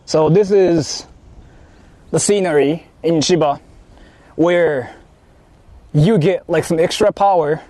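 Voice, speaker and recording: A man's voice: on the high side, slow pace, long pauses, dark tone, no echo. A young man with a Japanese accent, chatting casually and jokingly on a live stream from outdoors, rambling with lots of fillers and repeated words. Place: outdoors in wind. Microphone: phone on a live stream. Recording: wind noise on a phone mic.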